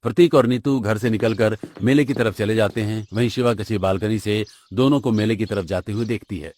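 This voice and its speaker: A man's voice: fairly low pitch, fairly quick, a little mellow. A man reading scripted narration for a cartoon story as its narrator.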